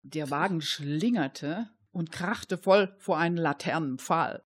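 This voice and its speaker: A woman's voice: low-pitched, slow pace, heavy background noise. A woman narrating a crime radio play in scripted storytelling style.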